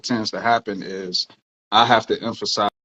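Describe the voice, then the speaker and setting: A man's voice: lowish voice, steady tone, moderate pace, pushed and bright, lo-fi sound. A man co-hosting a podcast, explaining his views earnestly in casual talk and swearing now and then. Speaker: podcast co-host.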